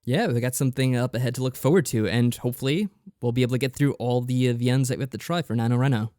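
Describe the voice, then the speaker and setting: Male co-host, medium pitch, casual low-key chat, agreeable, no room sound. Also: racing, mellow, dry recording, studio quality. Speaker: podcast co-host.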